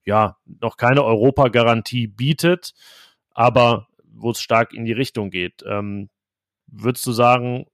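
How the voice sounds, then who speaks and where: A man's voice: medium pitch, steady tone, a little slow, no echo, studio quality. A man hosting a football podcast, moderating in casual chat and putting questions to his guests. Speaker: podcast host.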